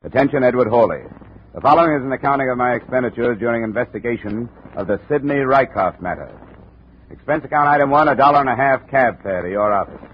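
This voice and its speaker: An American actor playing a tough, unflappable insurance investigator in a 1950s radio drama, clipped and wry. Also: male voice, medium pitch, fast, close to an everyday voice. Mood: dry narration. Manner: clipped, hard-boiled, wry. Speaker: lead actor and narrator. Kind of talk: 1950s radio detective acting. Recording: noisy, tinny old radio recording.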